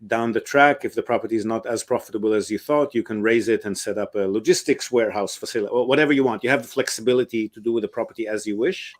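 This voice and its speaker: A man's voice: on the low side, fast, few pauses. A man, a real estate investment advisor, answers interview questions at length, explaining step by step with frequent ums and uhs.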